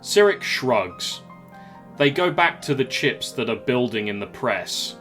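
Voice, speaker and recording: A man's voice: mid-range voice, very animated, a little slow, a bit bright, faint music behind. A man narrating a scripted audio drama in the present tense, as a storyteller.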